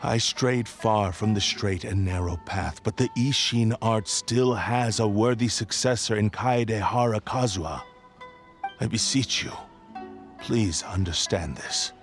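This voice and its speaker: A man playing a remorseful fallen swordmaster in a video game, speaking gravely and formally. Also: on the low side, measured pace. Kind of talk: video game character acting. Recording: music behind the voice.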